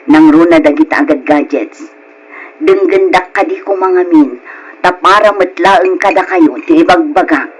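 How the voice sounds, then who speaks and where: A performer voicing a caring, gently scolding mother with an Ilocano accent in a radio drama, a woman. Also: on the low side, moderate pace, lightly acted, poor audio. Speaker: drama voice actor.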